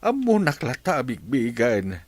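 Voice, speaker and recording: A humble, remorseful aging father played by a man speaks slowly and haltingly with an Ilocano accent. The emotional delivery rises and falls widely in a radio-style drama, recorded very dry. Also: medium pitch, wildly swooping pitch, no echo. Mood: grateful, ashamed.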